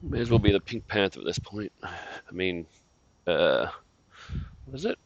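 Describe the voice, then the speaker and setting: A man's voice: sits low, big pitch swings, normal speed, dry recording, muffled recording. A man giving casual, joking commentary as a game streamer while he plays.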